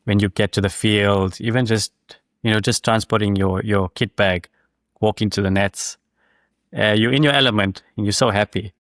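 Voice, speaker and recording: Low-voiced man hosting a podcast. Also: fairly even intonation, moderate pace, a bit bright, dry recording, studio quality. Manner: encouraging, short affirmations. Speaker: podcast host. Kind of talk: casual interview talk.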